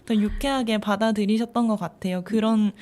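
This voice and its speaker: Polite young woman guest, soft laughs. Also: mid-range voice, steady tone, a little fast. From South Korea, Okcheon area. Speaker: guest social worker. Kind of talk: casual interview answers.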